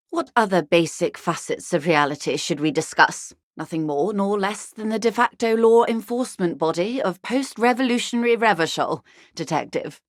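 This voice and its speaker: A woman's voice: medium pitch, no room sound, studio quality, lightly acted. An actress playing a wealthy, worldly older woman in a video game, articulate, arch and wryly condescending. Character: wealthy, worldly older woman, urbane, wry, intellectually vain. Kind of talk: video game character dialogue.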